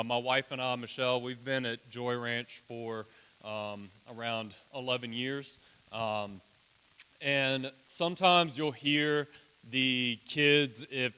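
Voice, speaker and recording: A man with a big booming voice, chatting folksily while presenting a children's ministry to a church. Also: sharp tone, clean tone. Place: church hall. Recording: long hall reverb.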